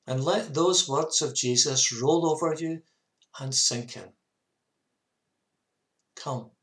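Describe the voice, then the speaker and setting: A man in his fifties, a minister giving a measured, earnest reflective sermon to camera in a small study. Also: medium pitch, a bit bright. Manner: measured, earnest, with short repeated phrases. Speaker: parish minister. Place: small home study, indoors.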